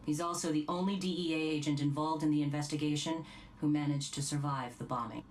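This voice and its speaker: A businesslike female task force chief in a crime game, acted by a woman, briefs in a notably deep voice. She is formal and composed, heard a little off-mic over faint noise. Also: steady tone, a little fast, darkish tone, far from the mic, natural voice. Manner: formal, crisp official briefing.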